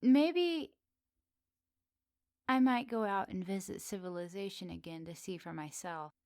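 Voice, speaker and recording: A woman plays a centuries-old witch of the woods in an intimate ASMR roleplay. She speaks slowly at a medium female pitch, coy and teasing, with expressive turns and soft pauses. The sound is dry, with very little room around her. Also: no room sound, natural voice. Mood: curious, amazed.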